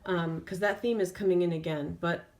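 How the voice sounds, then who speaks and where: A woman tarot reader speaking off the cuff in an intuitive reading, feeling her way through phrases with drawn-out pauses. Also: lowish voice, fairly even intonation, darkish tone.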